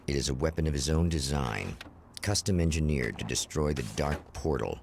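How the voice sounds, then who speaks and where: A gravelly, rumbling narrator speaks in an extremely low register with ominous, dramatic weight. His delivery suits an announcer in a game, and faint noise sits under the voice. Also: lively intonation, normal speed, heavily acted. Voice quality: gravelly, very deep. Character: deep gravelly male TV-show narrator.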